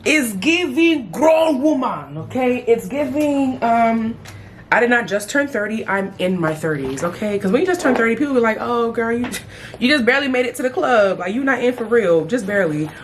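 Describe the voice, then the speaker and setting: A woman in her thirties chatting casually as a beauty vlogger while doing her makeup, playful, with sudden high exclamations. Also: animated, moderate pace, background noise. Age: 30s. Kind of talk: casual get-ready-with-me chat.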